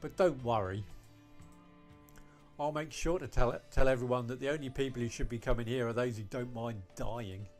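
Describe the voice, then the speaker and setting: A man's voice: mid-range voice, normal speed, faint music behind, voice pushed down, close to an everyday voice. A man voicing a laid-back, stubborn male cook in a visual novel readthrough, blunt and casually fatalistic. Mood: dry, unbothered. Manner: nonchalant, blunt.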